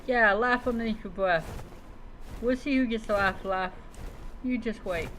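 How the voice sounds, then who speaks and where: A woman's voice: on the high side, measured pace, fairly bright tone, a little hiss or hum. A woman doing live in-character gameplay commentary, talking playfully to creatures with mock-heroic taunts. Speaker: game streamer playing a roleplay survival game.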